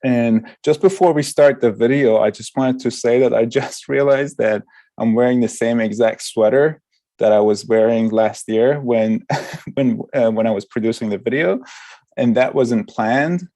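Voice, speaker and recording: A man's voice: mid-range voice, moderate pace, warm tone. Man from Iran giving an artist talk. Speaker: sound artist and university lecturer.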